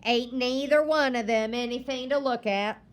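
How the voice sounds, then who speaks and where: A woman's voice: on the high side, normal speed, voice pushed up, close to an everyday voice. A woman voicing a stern, stubborn old pioneer grandmother who talks in blunt, folksy country speech.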